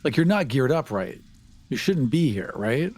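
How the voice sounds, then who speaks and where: A man's voice: mid-range voice, big pitch swings, moderate pace, darkish tone. A man playing a tabletop game, bantering casually with jokey questions. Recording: music playing behind the voice.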